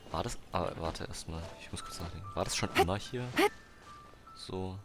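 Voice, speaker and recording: Low-voiced teenage male German streamer. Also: moderate pace. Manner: slangy, rambling, thinking aloud. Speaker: game streamer. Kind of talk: casual live-stream chat. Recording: loud music under stream voice. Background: loud game music.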